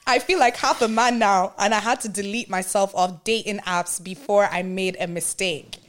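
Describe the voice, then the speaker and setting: A woman hosting a podcast, chatting casually with slang and reading stories aloud to friends in an animated way. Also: mid-range voice, normal speed. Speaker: podcast host.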